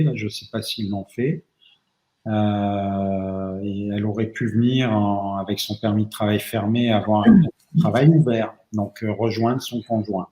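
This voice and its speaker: A man's voice: lowish voice, a little mellow. A man, an immigration lawyer, answers audience questions at length, with many fillers and restarts. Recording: roomy echo.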